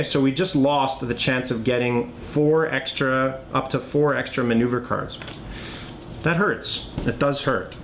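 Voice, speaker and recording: A man giving a casual, unscripted board game tutorial, thinking aloud as he demonstrates the moves. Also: fairly bright tone. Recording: clearly noisy, low rumble.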